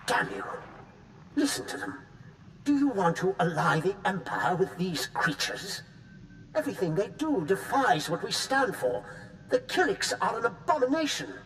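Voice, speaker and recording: A high male voice of a scornful imperial supremacist. He declaims like a bitter zealot in a video game, with faint noise around him. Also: very animated, normal speed, fairly bright tone. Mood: outraged, scornful. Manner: contemptuous, declamatory. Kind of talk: scripted video game villain acting. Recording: faint noisy game ambience.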